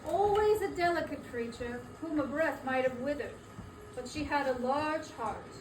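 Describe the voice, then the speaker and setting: A woman playing a solemn guiding ghost in a dramatic stage reading, with formal, measured phrasing. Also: high-pitched, expressive. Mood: gently grave. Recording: echoey room, distant mic, background noise.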